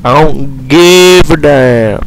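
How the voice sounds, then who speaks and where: A man's voice: fairly high pitch, very slow, noisy background. A man gaming and commentating in a hammy fake British accent. He swears a lot and rambles as he plays. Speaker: gamer. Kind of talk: gameplay commentary. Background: game music and gunfire.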